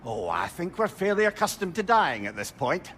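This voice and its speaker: An actor playing a wry, talkative old adviser, wordy and philosophical, in a video game. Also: male voice, high-pitched, very animated, moderate pace, a bit bright, a little hiss or hum. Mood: dryly wry. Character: wry, talkative, counselling old male companion. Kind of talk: dramatic video game acting.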